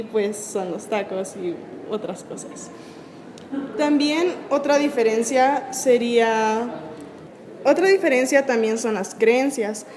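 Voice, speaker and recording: A young woman from El Salvador answers interview questions at a measured pace. Her voice sits fairly high and trails into a long reverb. A faint background noise lies under her. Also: darkish tone. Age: young adult. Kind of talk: student video interview.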